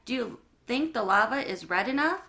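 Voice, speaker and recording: A woman's voice: on the high side, lively intonation, moderate pace, sharp tone. A woman reading aloud, voicing a chatty, curious little schoolboy in a chirpy tone.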